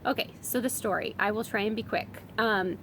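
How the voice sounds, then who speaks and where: A woman's voice: mid-range voice, normal speed, creaky, a bit bright, slight background noise, poor audio. A woman in her thirties with a summer cold, chatting casually on her vlog as a homeschooling mom. She rambles a little, with lots of fillers and restarts. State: has a summer cold, voice a bit strained.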